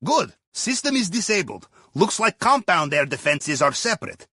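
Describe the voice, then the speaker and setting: An animated, high-pitched Russian heist captain. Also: male voice, normal speed, slightly rough, dry recording, studio quality, exaggerated voice. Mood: dry, instructive. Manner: deadpan, wry, folksy, clipped grammar. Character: wry Russian submarine captain guiding a heist crew. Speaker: video game character. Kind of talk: scripted game mission briefing.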